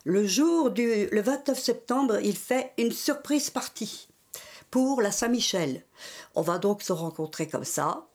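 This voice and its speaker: An older French woman recalling her schooling and early adult life in an interview, telling it in a measured way in the present tense. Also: a little high, animated, a little mellow. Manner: measured storytelling in the historic present. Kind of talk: oral history interview.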